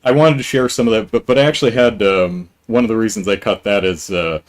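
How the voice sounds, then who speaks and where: Low-voiced man hosting a podcast. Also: quick pace. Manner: rambling, many ums and restarts. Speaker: podcast host. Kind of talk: casual live-stream interview.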